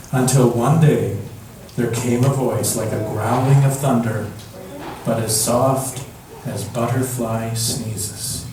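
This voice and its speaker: Male storyteller, unhurried and gentle read-aloud with long pauses; very reverberant room, distant mic. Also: medium pitch. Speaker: storyteller reading at a church. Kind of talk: slow picture-book read-aloud. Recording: echoey room from far off, background noise.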